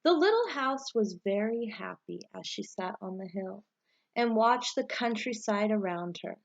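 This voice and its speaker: A woman teacher reading a storybook aloud to pupils and giving them instructions in a clear way suited to children. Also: medium pitch, expressive, measured pace, highs cut off.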